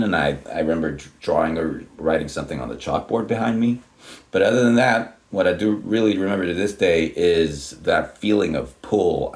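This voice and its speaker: Super deep voiced guy in his 30s, rambly chatty storyteller, lots of restarts. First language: Swedish. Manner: rambling, many restarts and swearing. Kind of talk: casual storytelling.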